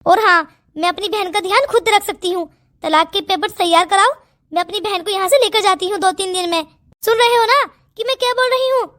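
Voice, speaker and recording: Woman as a bossy, high-voiced elder sister. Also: fairly quick, a bit bright. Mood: stern, commanding. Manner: scolding, commanding. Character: bossy, protective elder female cousin, stern and indignant. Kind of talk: melodramatic cartoon story acting.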